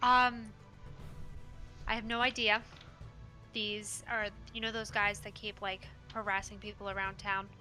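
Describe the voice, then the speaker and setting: A woman's voice: mid-range voice, little pitch movement, unhurried, pauses often, pushed and bright, faint music behind. A woman playing in a tabletop game, answering hesitantly with many fillers. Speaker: player. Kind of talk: casual tabletop play over voice chat.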